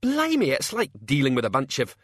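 A man as a gruff, short-tempered gang boss. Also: mid-range voice, huge pitch swings, a little fast, dry recording, studio quality, voice pushed up. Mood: furious. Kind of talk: audiobook character acting.

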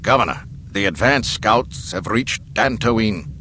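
A man's voice: lowish voice, animated, takes it slowly, pushed and bright, a little hiss or hum, heavily acted. A man playing an urgent, formal male military officer making clipped reports in an audiobook. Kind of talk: audiobook character acting.

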